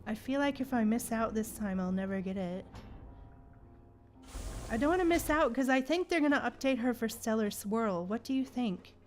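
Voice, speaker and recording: A woman's voice: on the high side, expressive, moderate pace. Chatty woman streamer with music behind. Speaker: livestream host. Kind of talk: casual gaming livestream chat with viewers.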